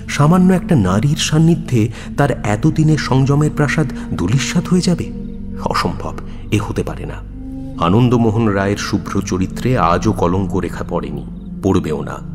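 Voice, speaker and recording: A man narrating a dramatic audio story in a literary, theatrical manner. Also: mid-range voice. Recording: long reverb, music behind. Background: music.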